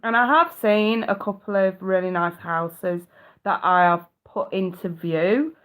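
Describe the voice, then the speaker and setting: A woman's voice: mid-range voice, measured pace, a bit bright. A middle-aged woman with a blocked nose chatting casually with viewers on a live stream, swearing now and then.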